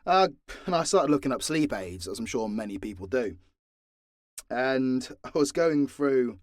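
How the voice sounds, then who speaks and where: A man's voice: on the low side, no room sound, clean studio sound. A man with a British accent chatting casually and candidly in an unscripted Q&A, swearing freely and poking fun at himself. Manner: candid, sweary, self-deprecating. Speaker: voice actor and audio channel creator.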